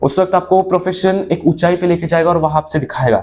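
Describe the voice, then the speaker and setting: A young man speaking an earnest motivational monologue to his viewers, mixing in English phrases and swinging between emphatic and low moments. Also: fast talker, darkish tone. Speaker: motivational vlogger.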